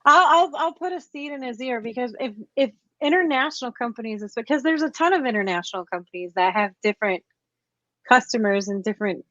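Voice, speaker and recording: A woman's voice: fairly high pitch, lively intonation, fairly quick, bright, forceful tone, no echo. Chatty woman on a dull call. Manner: chatty, hesitant restarts and fillers. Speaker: interviewer. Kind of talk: casual conversation.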